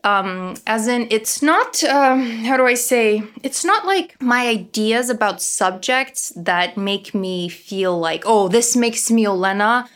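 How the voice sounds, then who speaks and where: A woman's voice: fairly high pitch, fairly bright tone. A woman in her thirties from Canada with a slight Ukrainian accent, chatting on a casual live stream as host, bubbly and giggly. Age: 30s. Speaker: skin health host.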